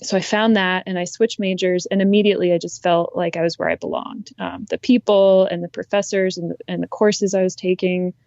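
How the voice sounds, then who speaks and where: A young woman from Ohio, a conservationist, talking in a relaxed, reflective way as a guest in an interview. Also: on the low side, a little fast, gravelly, hardly any pauses, no room sound, dull audio. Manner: relaxed, reflective, frequent ums. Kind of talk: casual interview conversation.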